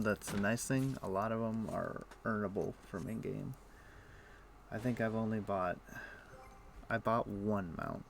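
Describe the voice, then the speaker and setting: A man streaming a video game, explaining things in a relaxed, meandering way with frequent pauses. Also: on the low side, vocal fry, slight background noise. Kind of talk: casual gameplay chat.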